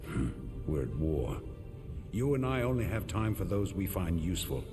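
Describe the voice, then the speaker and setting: A battle-hardened male knight commander for a fantasy video game. He is gruff and terse, with a very deep voice that moves expressively. Music plays behind him. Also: big pitch swings, moderate pace. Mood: dry, guarded.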